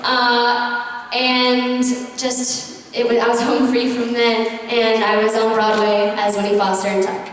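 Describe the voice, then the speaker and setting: A woman's voice: a little high, monotone, measured pace, distant mic. A young girl from Atlanta, Georgia, answering eagerly and at length on a panel. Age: teen. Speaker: child actress panelist. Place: large convention hall. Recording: echoey hall from afar.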